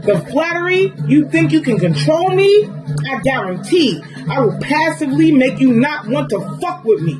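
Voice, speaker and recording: A woman with a fairly high, wildly swinging voice performs spoken word poetry in an intense, rhythmic cadence. Guitar music plays loudly under her. Her emphasis rises and falls sharply from line to line. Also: sing-song, normal speed. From New York. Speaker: poet.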